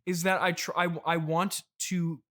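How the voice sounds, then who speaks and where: A man playing a boastful, defensive trillionaire father in an improvised comedy interview, halting and over-explaining as he dotes awkwardly. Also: sits high, little pitch movement, pushed and bright. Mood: earnest pleading. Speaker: podcast host.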